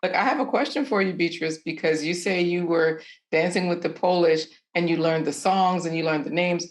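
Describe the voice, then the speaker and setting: A woman panelist speaking directly and lively on a video call. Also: fairly low pitch, normal speed.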